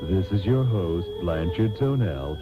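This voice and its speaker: A man's voice: deep voice, lively intonation, measured pace, over loud music. A man playing a grandly earnest host, narrating a comedic radio serial in a mock-solemn, theatrical style. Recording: tinny, narrow old radio tape.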